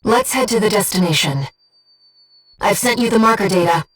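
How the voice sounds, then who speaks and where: A gentle female spirit of a video game, played by a woman, speaks as a mission operator with mid-pitched, precise and composed delivery. The voice is earnest and softly formal, sounding slightly removed from the mic, with music underneath. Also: animated, moderate pace, recorded from a distance, lightly acted, low-quality recording. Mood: calm, informative. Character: gentle, earnest female disembodied coral being guiding a mercenary pilot. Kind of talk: scripted video game voice acting.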